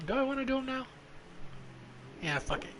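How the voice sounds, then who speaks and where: A man's voice: very high-pitched, sing-song, normal speed, a bit bright, slight background noise. A man giving casual, profane gameplay commentary as a streamer, muttering to himself as he plays. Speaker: video game streamer.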